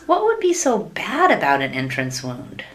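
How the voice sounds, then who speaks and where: An actress playing a sweet, naive, adoring wife who asks guileless questions in a sitcom-style radio play. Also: female voice, fairly low pitch, wildly swooping pitch, moderate pace. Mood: puzzled, naive. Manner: innocent, earnest, guileless questioning. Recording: noisy track with clicks.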